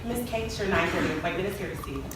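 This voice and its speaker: A woman's voice: fairly low pitch, lively intonation, racing, lightly acted. A woman playing a cheeky, gossipy receptionist on stage, with a teasing, sassy manner. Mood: polite, businesslike. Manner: sassy, teasing, addresses the crowd. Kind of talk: stage play monologue to the audience. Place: large echoey indoor stage venue. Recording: echoey hall from far off, noisy.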